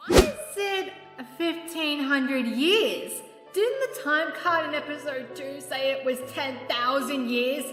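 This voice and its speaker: Woman as a high, snarky cartoon pony. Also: expressive, slow. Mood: sceptical, snarky. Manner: questioning, sarcastic. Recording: very echoey hall reverb, faint music.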